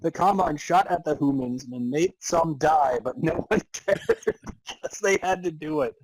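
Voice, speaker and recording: A man's voice: fairly high pitch, a little slow, a little hiss or hum, poor audio. A man narrating a comic story in a theatrical way, prone to breaking into laughter. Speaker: narrator and podcast host. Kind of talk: dramatic reading of comic fan fiction.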